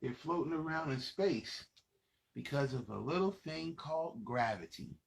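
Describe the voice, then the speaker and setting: A man's voice: on the high side, expressive, measured pace, vocal fry. A man reading a children's storybook aloud as host, in a playful, dramatic manner, speaking to young listeners.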